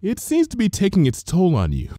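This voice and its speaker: Man putting on a high, timid motherly pony. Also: wildly swooping pitch, fairly quick, warm tone, no echo, clean studio sound, full character voice. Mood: apprehensive. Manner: hesitant, apprehensive, fussing politely. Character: timid, motherly female pony baker, anxious and placating.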